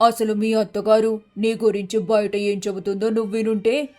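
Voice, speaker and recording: A woman's voice: mid-range voice, little pitch movement, normal speed, darkish tone, faint music behind, natural voice. A woman playing a gossipy, scheming neighbour in a moral story, speaking in an insinuating, confiding way. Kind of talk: scripted storybook acting.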